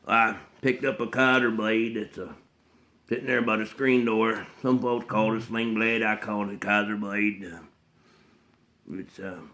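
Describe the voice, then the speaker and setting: A man playing a slow, simple, haunted backwoods man with a rural Southern US accent, delivering a halting, plainspoken dramatic monologue in a mumbled voice with throaty grunts and hums. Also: fairly low pitch, pushed and bright. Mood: flat, grim.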